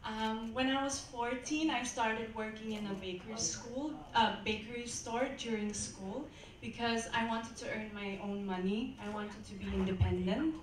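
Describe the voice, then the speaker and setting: A woman's voice: a little high, fairly even intonation. Woman with a voice in an echoey hall. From Austria. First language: German. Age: 20s. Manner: halting, careful answers. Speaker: pageant contestant. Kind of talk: answering judges' questions. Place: hotel event hall. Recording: echoey hall, distant mic.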